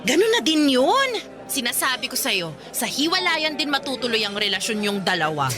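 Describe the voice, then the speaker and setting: Bold, animated high-voiced best friend. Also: female voice, normal speed. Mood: blunt, prodding. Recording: music bed behind.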